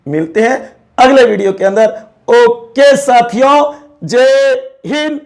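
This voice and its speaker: A male teacher giving an exam-prep civics lecture. He repeats key terms and keeps checking whether the students follow. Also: very high-pitched. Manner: drawn-out, emphatic slogan-like closing.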